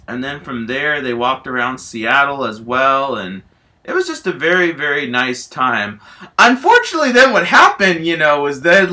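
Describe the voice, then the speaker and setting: Bright, higher-voiced man rambling through a story. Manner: excitable, giggly, repetitive filler phrases like "you know" and "all that stuff". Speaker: amateur storyteller in a homemade video. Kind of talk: improvised rambling comic storytelling.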